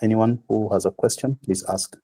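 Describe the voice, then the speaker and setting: A slightly hoarse man giving a university lecture, explaining step by step with frequent pauses and fillers. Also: on the low side, steady tone, warm tone. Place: classroom.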